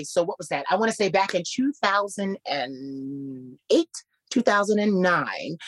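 A man with a naturally light voice, hoarse today and a little husky, telling his story animatedly as a guest. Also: no echo. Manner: animated, repeats phrases, jumps high for quoted voices. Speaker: guest musician. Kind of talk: casual interview storytelling.

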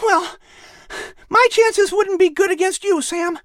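An eager young colonial boy, voiced by a woman talks in an extremely high, childlike voice. He is friendly and keen, a character in a children's audio story. The recording is very dry. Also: takes it slowly, a bit bright, no room sound, heavily acted.

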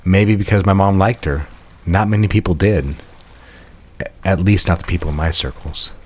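An actor playing a devoted, soft-spoken man in love, confiding intimately in an audio drama. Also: sits low, normal speed. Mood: earnest.

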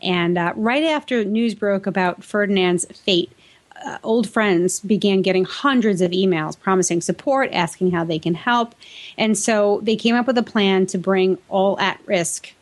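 A woman's voice: medium pitch, normal speed. A woman with an American accent and a mid-range voice co-hosts a podcast. She talks casually and chattily, with a playful, teasing edge. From New England, United States. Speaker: podcast co-host. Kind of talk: casual co-host banter and chat.

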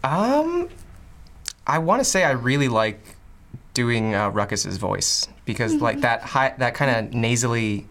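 A man chatting as a tabletop game player, animated and laughing as he explains his character's voice. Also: mid-range voice, measured pace. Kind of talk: casual tabletop game chat.

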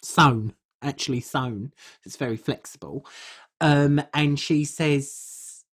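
A British woman playing an uncouth, food-obsessed podcast co-host, husky and rambling in colloquial, deadpan comic chat. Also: rumbling low, slow, heavily acted. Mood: breezy, deadpan. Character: uncouth, food-obsessed woman telling absurd tales earnestly.

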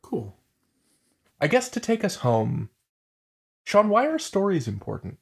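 A man's voice: sits high, wildly swooping pitch, measured pace, creaky, breathy edge. A man interviewing guests on a podcast in a casual, conversational way.

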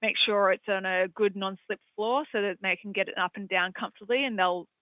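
Australian male equine vet, high-pitched, hesitant and rambly, dry phone-line audio. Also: fairly even intonation, a little fast, no room sound. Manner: rambling, hesitant, many fillers. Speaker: equine veterinarian. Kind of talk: expert answering audience questions.

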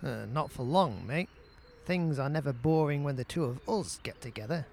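A man plays a cocky teenage schemer with a Stoke accent who talks with comic-book bravado. His voice is a little high and bounces up and down, with faint noise behind. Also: lively intonation, normal speed, gravelly, a little mellow. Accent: Stoke-on-Trent. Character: cocky young teenage boy Pete, schemer.

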